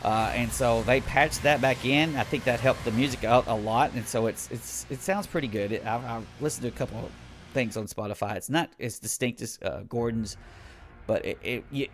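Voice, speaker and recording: A man's voice: medium pitch, moderate pace, faint music behind. A tired man giving a casual, rambling spoken game review late at night, swearing often and restarting his sentences. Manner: rambling, profane, many restarts and fillers.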